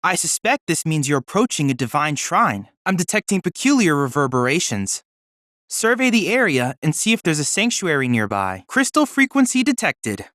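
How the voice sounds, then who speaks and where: An actor playing a polite, earnest boyish android research assistant in a video game, with formal, precise, bookish diction. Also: male voice, fairly high pitch, very animated, normal speed, a bit bright, no room sound, clean studio sound. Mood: helpful, eager. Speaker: game character, research guide. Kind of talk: scripted video game voice acting.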